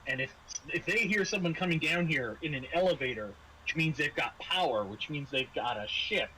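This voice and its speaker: A man acting as game master, narrating a tabletop role-playing game in a halting, improvised way that repeats phrases. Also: on the high side, lively intonation, sharp tone, poor audio. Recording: home recording with clear background noise.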